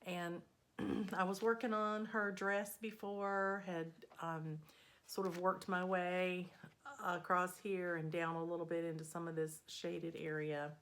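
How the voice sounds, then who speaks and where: A woman hosting a needlework vlog, her voice still a little scratchy as it recovers, talking in an unhurried, friendly way with plenty of ums. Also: on the low side, little pitch movement, a bit bright. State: slightly scratchy voice, recovering from a cold. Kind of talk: casual chatty progress update.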